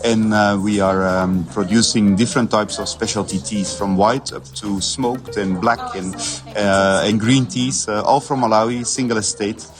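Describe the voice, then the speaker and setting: A Belgian man who speaks first talks hesitantly, filling pauses with "uh" as he answers. He is interviewed on a busy expo floor. His voice sits in the middle range, with clear music in the background. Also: lowish voice, fairly even intonation. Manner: hesitant, many fillers. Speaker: tea company representative. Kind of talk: trade show interview.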